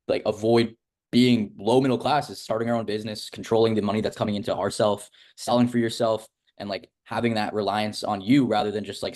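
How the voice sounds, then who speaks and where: A man's voice: fairly low pitch, darkish tone. A young man hosting a casual interview, talking in a rambling, informal way full of filler words. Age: young adult. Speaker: host interviewing a student of a business academy.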